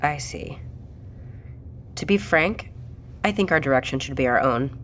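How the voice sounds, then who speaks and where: A woman's voice: a bass voice, animated, measured pace, a bit bright, slight background noise, heavily acted. A woman playing a direct, questioning doctor and project leader in an audio drama. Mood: composed, assertive.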